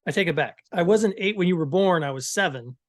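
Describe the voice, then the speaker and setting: A man in his fifties co-hosting a podcast, speaking in short, dry, low-key replies. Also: lively intonation, fast. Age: 50s. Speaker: podcast co-host. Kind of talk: casual chat.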